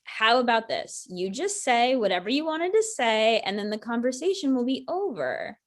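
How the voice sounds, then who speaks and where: A woman's voice: a little high, big pitch swings, normal speed. A woman acting a blunt, sarcastic ex-girlfriend in her thirties in a conversational comedy script reading. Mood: casual, needling.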